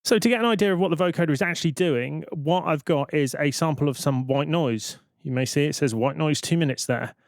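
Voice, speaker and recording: A man's voice: on the high side, a little fast, hardly any pauses, no room sound, clean studio sound. A man presenting a tutorial in an informal, explanatory way, thinking aloud as he goes. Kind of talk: informal software tutorial narration.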